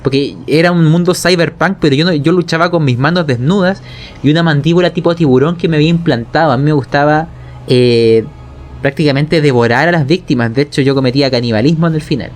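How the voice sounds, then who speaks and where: Chilean man, higher-pitched voice, colloquial game master teaching storytelling and checking that listeners follow. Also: fast talker. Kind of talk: explanatory conversation on tabletop role-playing narration.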